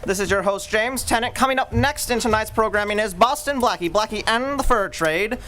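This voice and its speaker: A man's voice: sits high, a little fast, sharp tone, a little hiss or hum. A man hosting live community radio theater, with a theatrical announcer-style delivery. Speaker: host.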